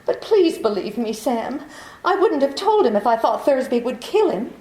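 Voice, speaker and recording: A woman's voice: high-pitched, big pitch swings, moderate pace, dark tone, reverberant. A woman playing a pleading femme fatale. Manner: breathy, pleading, theatrical. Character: scheming young femme fatale, desperate and pleading. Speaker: actor. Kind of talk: 1940s radio drama acting.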